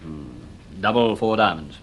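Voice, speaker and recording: An actor playing a genial gentleman in a radio drama. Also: low-pitched, animated, a little slow, background noise.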